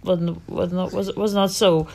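A woman, answering interview questions about her childhood in an unhurried way, searching for her words. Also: lowish voice, flat delivery, creaky, darkish tone, a little hiss or hum. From Russia. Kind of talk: casual childhood memories.

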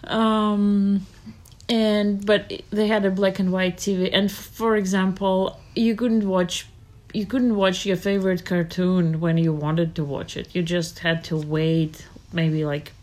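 A woman, answering interview questions about her childhood in an unhurried way, searching for her words. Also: medium pitch, little pitch movement, darkish tone. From Russia. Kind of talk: casual childhood memories.

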